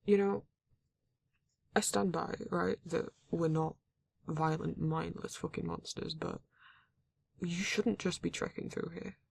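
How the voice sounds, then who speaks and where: A performer voicing a grumpy, reluctant werewolf in an ASMR roleplay, exasperated and halting, with a husky, gravelly voice. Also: medium pitch, steady tone, heavily acted. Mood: firm warning. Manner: exasperated, sighing, swearing, halting delivery. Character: grumpy, reluctant werewolf, guarded but not cruel. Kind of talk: ASMR roleplay audio drama, intimate monologue.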